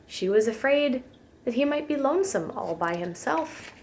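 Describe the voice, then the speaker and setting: A woman reading a children's storybook aloud as a preschool teacher, with gentle, expressive emphasis. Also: sits high, moderate pace, a little hiss or hum.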